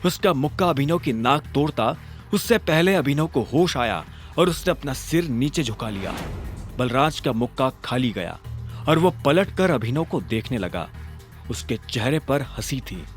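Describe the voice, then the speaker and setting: A man's voice: medium pitch, expressive, a little slow, soft background music. A man giving dramatic scripted narration for an audio drama.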